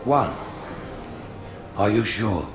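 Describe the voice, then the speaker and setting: An actor voicing a stern, stately alien high prophet in a video game, speaking in a pompous, deliberate, oratorical way. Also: male voice, very animated, music in the background, exaggerated voice. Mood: questioning, measured. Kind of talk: dramatic video game cutscene acting.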